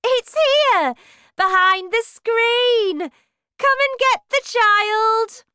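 A horrid, excitable storybook witch, as a woman narrator puts her on. The voice is high, loud and sharp, with big swoops in pitch. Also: very slow, creaky, no echo, full character voice. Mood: gleeful. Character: horrible, excitable witches shrieking about a smelly child.

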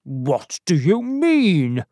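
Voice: mid-range voice, lively intonation, drawn-out words, a little mellow, dry recording, studio quality. A narrator voicing a nervous, curt male villain in a storybook, with clipped replies. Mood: angry.

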